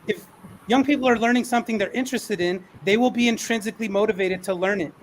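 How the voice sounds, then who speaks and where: A man's voice: sits high, fast talker, a little hiss or hum, poor audio. A man hosting a panel discussion, speaking in an encouraging, affirming manner. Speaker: panel moderator. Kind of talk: moderating an online panel discussion.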